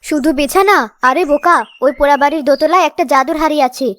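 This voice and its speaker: A woman's voice: high-pitched, fast, a bit bright. A woman voicing a scheming, irritable village sister-in-law in a cartoon, bossy and slyly coaxing. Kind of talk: cartoon story voice acting.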